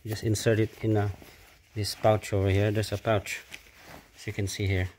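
A middle-aged man with a Filipino accent, off camera in a carpeted room, speaking in a halting, casual way with many fillers and restarts as he shows an item to a handheld phone camera. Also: fairly low pitch, flat delivery, darkish tone, slight background noise. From Philippines. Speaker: product reviewer. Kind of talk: casual unboxing commentary.

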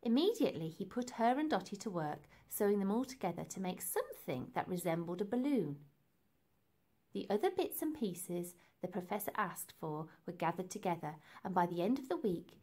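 A woman reading a children's book aloud in an animated way, with dramatic emphasis for young listeners. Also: fairly low pitch. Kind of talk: expressive storybook narration.

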